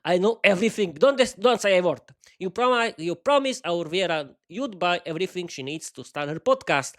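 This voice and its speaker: A man voicing a calm, motherly, all-knowing female AI demon who reassures her friend. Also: high-pitched, brisk. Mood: reassuring. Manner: soothing, reassuring.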